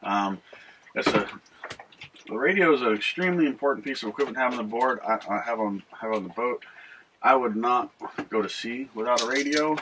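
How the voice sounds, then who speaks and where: An older man hosting a boating video, talking casually and haltingly as he explains things inside a small boat cabin. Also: mid-range voice, a bit bright, slight background noise, low-quality recording. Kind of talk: casual explanatory vlog talk.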